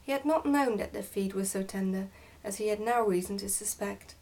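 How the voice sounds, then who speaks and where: A woman reading a children's story aloud in ASMR style, soft-spoken and sometimes near a whisper. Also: mid-range voice, moderate pace, distant mic, poor audio. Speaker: ASMR book reader.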